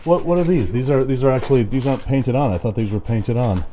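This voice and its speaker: A man's voice: medium pitch, fast, dark tone, a little hiss or hum. A man casually narrating as he explores overgrown ruins outdoors, chatty and joking, with a handheld camera mic. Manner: chatty, curious, jokey. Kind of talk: casual walking vlog narration.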